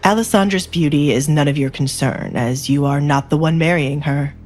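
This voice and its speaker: A woman's voice: low voice, moderate pace, darkish tone, soft background music, pitched below the performer's own voice, close to an everyday voice. A woman voicing a cold, commanding male duke in a romance audio drama, stern and controlled. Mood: cold, threatening.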